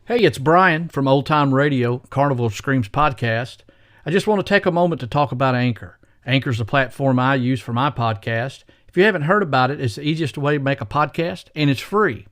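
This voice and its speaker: A man's voice: mid-range voice, lively intonation, brisk, a bit bright, dry recording, studio quality. A man hosting a podcast, reading scripted introductions and promotional copy. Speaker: podcast host. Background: faint music.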